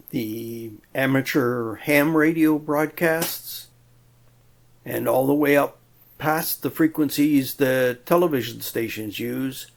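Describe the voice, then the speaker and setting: A man narrates a technical tutorial at a very unhurried pace. His voice has a noticeable gravelly fry, and he explains methodically in plain words, with a touch of dry humour. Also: on the high side. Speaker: presenter of an electronics tutorial.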